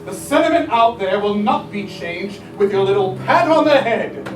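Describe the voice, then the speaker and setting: A man as a combative, blunt government secretary in a stage musical reading. His high voice is stern and scornful. It is picked up from far off in an echoey room. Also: animated, normal speed. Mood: warning. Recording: echoey room, distant mic, music behind.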